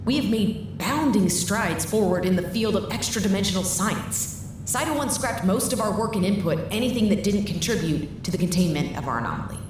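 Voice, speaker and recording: A woman's voice: medium pitch, lively intonation, brisk, lightly acted. A woman playing a blunt, weary leader of a rebel research staff in an audio drama, speaking frankly and dryly with some swearing. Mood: frank, bitter. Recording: echoey room, clear background noise.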